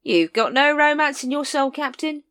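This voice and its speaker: A wheedling street sausage seller, a grown man who whines his wares in a high, pushy patter, as a woman reader puts him on. He never stops selling, swooping up and down as he talks. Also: lively intonation, normal speed, a bit bright.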